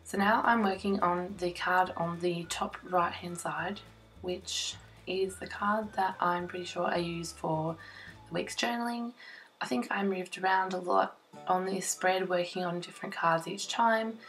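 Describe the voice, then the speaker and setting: A young woman talks through her scrapbook page in a chatty, self-conscious way, full of little ums. Her voice is croaky, still rough from laryngitis. Soft music plays faintly underneath. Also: fairly low pitch, fairly even intonation, measured pace, low-quality recording. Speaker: scrapbooking vlogger. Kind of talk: casual crafting voiceover. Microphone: voice-over recorded separately from the filmed table.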